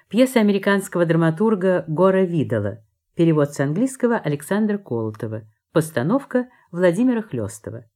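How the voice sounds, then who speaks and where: A woman's voice: mid-range voice, mellow. A woman announcer reading scripted credits for a radio drama in a formal broadcast style.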